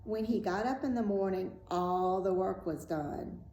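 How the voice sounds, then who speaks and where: A woman reading a fairy tale aloud as a storyteller, in a measured, dramatic and expressive way. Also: medium pitch. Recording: roomy echo, faint background noise.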